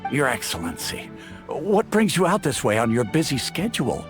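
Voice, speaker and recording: A man's voice: medium pitch, huge pitch swings, normal speed, music in the background. An actor voicing an easygoing, caring male villager in an anime game, familiar and coaxing in manner. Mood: concerned, friendly.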